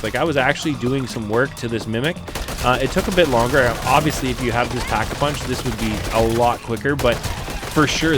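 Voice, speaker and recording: Stuffy-nosed man excitedly reviewing game weapons. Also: medium pitch. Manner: enthusiastic, chatty, casual. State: nasal from a head cold. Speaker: gaming channel host. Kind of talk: gameplay review commentary. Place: voice-over over gameplay footage. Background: game music and gunfire.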